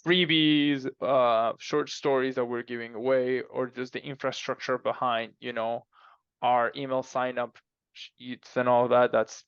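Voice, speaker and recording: A man speaking as an interview guest in casual, halting conversation, repeating words as he explains. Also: mid-range voice, vocal fry, clear, resonant tone, dry recording, lo-fi sound. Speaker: podcast guest, fiction co-author.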